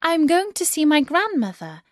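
A woman voicing an innocent, polite little country girl in a children's storybook, with wide-eyed, drawn-out wonder. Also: sits high, sing-song, no room sound, studio quality, voice pushed up.

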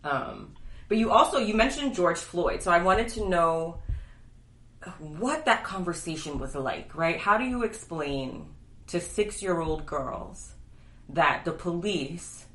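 A woman's voice: fairly low pitch, lively intonation, takes it slowly, a bit bright. A woman hosting a podcast interview, chatty and enthusiastic, often checking in with "right?". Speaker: podcast host. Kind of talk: casual interview conversation. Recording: echoey room.

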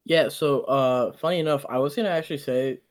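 A man's voice: on the high side, a little fast. A young man from Ethiopia in a casual podcast chat, speaking earnestly and a little hesitantly. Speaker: podcast co-host.

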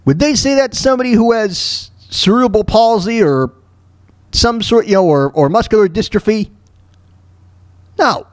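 A man's voice: high voice, normal speed, pauses often, no room sound, clean studio sound. A man hosting a solo podcast in a ranting style, speaking bluntly and emphatically and often repeating his phrases. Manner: blunt, profane. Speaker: podcast host.

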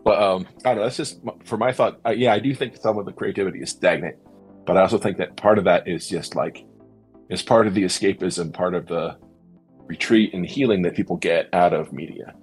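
A man's voice: low voice, brisk, husky. A middle-aged man talking in a thoughtful, tentative way as a panelist in a casual, reflective discussion. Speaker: podcast panelist. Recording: faint music underneath. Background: soft background music.

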